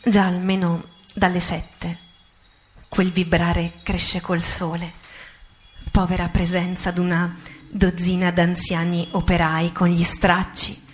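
A woman recites poetry as radio theatre, in a solemn, deliberate way with dramatic pauses. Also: lowish voice, monotone, slight background noise. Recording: music under the voice. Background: music.

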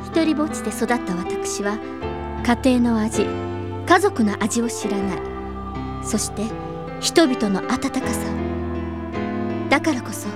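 A woman's voice: a little high, animated, unhurried, long pauses, over loud music. A woman playing a proud rich teenage girl in an anime audio drama, imperious, with refined ladylike diction. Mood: solemn.

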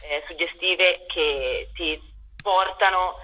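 A young woman, a theater author and director, answering interview questions in a hesitant, halting way with many filler words. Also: lowish voice, steady tone, highs cut off, a little hiss or hum.

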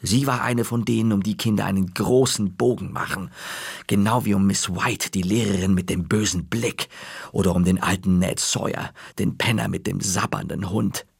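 A man narrating a children's story in the first person with a lively, wry delivery. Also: fairly low pitch, moderate pace, vocal fry, husky, no room sound, clean studio sound. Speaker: audiobook narrator.

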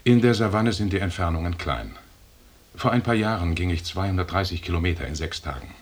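A stern male expedition commander in a radio drama, coolly condescending and formal. His deep voice is husky, and he lectures in measured phrases. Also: lively intonation. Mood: lecturing. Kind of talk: 1980s German radio drama acting.

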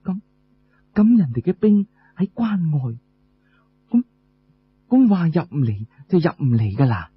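A man's voice: a little high, big pitch swings, a little slow, pauses often, breathy edge, exaggerated voice. A man voicing a shrewd, plaintive wife and mother in Cantonese storytelling, with coaxing, sighing delivery. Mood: coaxing, lamenting. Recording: muffled lo-fi recording.

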